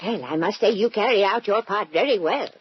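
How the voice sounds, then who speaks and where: An actress playing a spirited, eccentric older woman in a 1940s radio drama, husky, chirpy and coy, with quaint detective slang. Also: medium pitch, animated, brisk. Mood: brisk, pleased. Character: spirited, eccentric old woman, a mystery-novel fan playing sleuth. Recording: muffled vintage 1940s radio recording.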